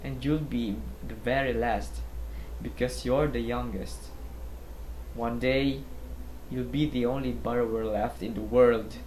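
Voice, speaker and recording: A man's voice: mid-range voice, steady tone, measured pace, a little mellow, slight background noise, close to an everyday voice, rough recording. A young man playing a blunt, sceptical, stubborn boy in an amateur readers' theatre drama. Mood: emphatic, blunt. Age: young adult.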